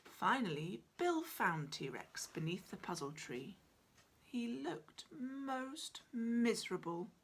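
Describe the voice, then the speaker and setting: A woman in her forties narrating her children's picture book in a dramatic, deliberate, expressive style, indoors at home. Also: on the high side, breathy edge. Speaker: author reading own picture book. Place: small cluttered room at home, indoors.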